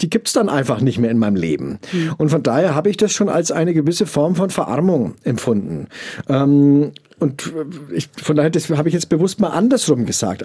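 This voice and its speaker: A middle-aged man with a Franconian accent, answering interview questions in a measured way, often pausing with fillers as he searches for words. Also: a little high, warm tone, breathy edge. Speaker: interview guest.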